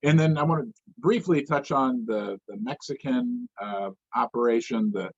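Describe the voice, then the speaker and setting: Easygoing male host with husky, muffled sound. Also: mid-range voice, moderate pace, dry recording. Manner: easygoing, frequent short acknowledgements. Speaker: interview host. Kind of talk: casual interview questions. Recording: dull, slightly muffled audio.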